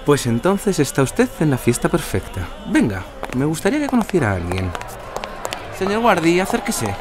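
A man's voice: fairly high pitch, wildly swooping pitch, moderate pace. An actor playing a vain, greedy, oily male vice-consul hosting a party in an audio drama. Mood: unctuous. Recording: party crowd and music behind.

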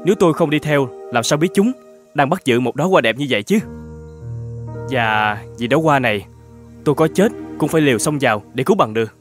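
A man's voice: medium pitch, expressive, a little fast, soft background music, lightly acted. A man voicing a gallant young rescuer in a fairy-tale cartoon, speaking in an earnest, reassuring way. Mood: tender, resolute.

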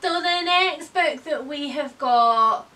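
Bright-voiced woman chatting about books. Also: high voice, takes it slowly. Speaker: book vlogger. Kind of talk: chatty book review. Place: indoor room with bookshelves.

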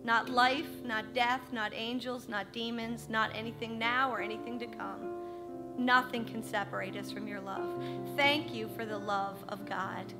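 A woman's voice: fairly high pitch, fairly even intonation, a little slow, over loud music. A middle-aged woman leading a church service from a pulpit, praying fervently and then making friendly announcements. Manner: fervent in prayer, chatty and laughing in announcements. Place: church sanctuary stage, at a wooden pulpit. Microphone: handheld microphone.

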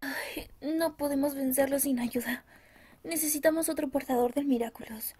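A woman's voice: high voice, fairly even intonation, normal speed, darkish tone, natural voice. A woman voicing an earnest, anxious teenage superheroine in a pleading, dramatic manner. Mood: urgent.

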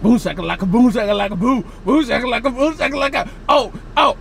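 An American prank vlogger chatting excitedly and casually to the camera, playfully repeating phrases over and over. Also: mid-range voice, quick pace, gravelly. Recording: noisy handheld recording, vehicle and street sounds.

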